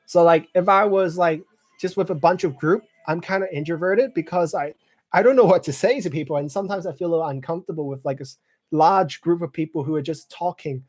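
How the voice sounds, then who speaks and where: A man in his twenties chatting casually on a live stream, rambling with many fillers and clearing his throat now and then. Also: a little high, fairly quick, darkish tone. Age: 20s. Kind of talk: casual live chat reading viewer comments.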